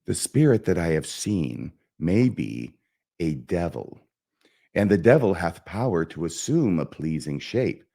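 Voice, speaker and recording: Anguished stage prince, played by a man: brooding Shakespearean soliloquy, slow and declamatory, hugely varied pitch. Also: sing-song, vocal fry, dark tone, full character voice. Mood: scheming, brooding. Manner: declamatory, brooding, emphatic with dramatic pauses. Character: anguished young prince, self-loathing, melancholy, bent on revenge.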